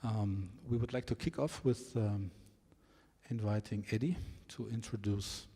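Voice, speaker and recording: A man's voice: fairly low pitch, fairly even intonation, unhurried, warm tone, breathy edge. A man moderating a panel, introducing the guests hesitantly with frequent fillers. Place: large exhibition hall. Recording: long hall reverb.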